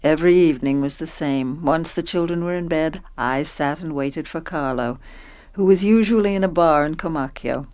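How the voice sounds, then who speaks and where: A woman's voice: low voice, moderate pace, darkish tone, close to an everyday voice. An actress playing a sorrowful woman who narrates her own life in a radio play, reflective and resigned.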